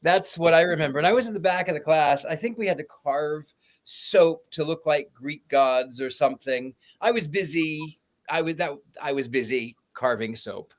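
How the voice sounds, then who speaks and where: A man co-hosting a casual podcast, telling animated anecdotes with many halting restarts. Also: fairly high pitch. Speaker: podcast co-host. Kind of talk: casual chat, storytelling.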